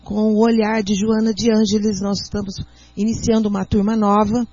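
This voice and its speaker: A Brazilian Portuguese-accented woman with a husky, breathy voice and a mid pitch, explaining things in an earnest, teacherly way. The recording is muffled and lo-fi, like radio audio. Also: flat delivery, mellow. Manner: earnest, teacherly, reads aloud slowly. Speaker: guest speaker, doctor.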